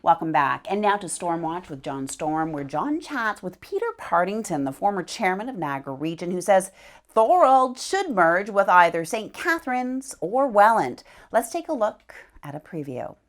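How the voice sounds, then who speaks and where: A woman's voice: fairly low pitch, big pitch swings, moderate pace. A woman presenting scripted local news as an anchor.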